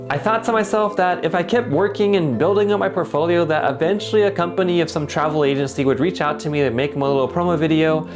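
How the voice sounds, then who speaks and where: A man who makes videos for a company's English channel, narrating his vlog in a reflective, earnest voice. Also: a little high. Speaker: company YouTube creator. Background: guitar music.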